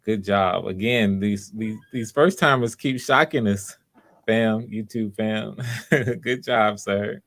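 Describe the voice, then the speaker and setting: A man hosting a live online audition in a casual, encouraging, informal way, often pausing as he improvises. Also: lowish voice, gravelly, fairly bright tone. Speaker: audition host.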